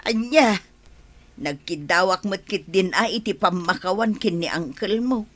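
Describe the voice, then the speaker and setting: A woman playing an excitable, jealous village wife in a radio comedy drama, with an Ilocano accent and a dramatic, wailing manner. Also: medium pitch, a little slow. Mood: sheepish, remorseful.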